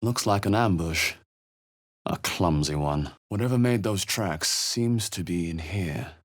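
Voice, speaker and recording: Low, husky, wary fantasy adventurer. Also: male voice, measured pace, no echo, studio quality. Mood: thoughtful, guarded. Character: thoughtful, wary adult male fantasy adventurer. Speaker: video game voice actor. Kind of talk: video game character voice lines.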